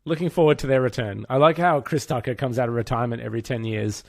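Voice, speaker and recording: Male co-host, gravelly mid-pitched voice, close dry sound, casual film chat with dry humour. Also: quick pace, no echo. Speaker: podcast co-host. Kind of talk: casual pop culture chat.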